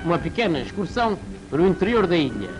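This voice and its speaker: Higher-pitched man narrating an old wartime newsreel. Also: very animated, fast, music in the background, rough recording. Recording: old 1940s newsreel soundtrack.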